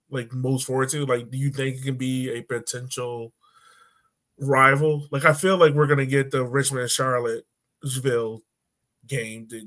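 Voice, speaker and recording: A man's voice: mid-range voice, fairly bright tone. A man in his thirties hosting a casual sports chat on a video call, asking questions in an informal, conversational way. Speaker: podcast host. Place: indoor room at home, video call. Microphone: video call microphone.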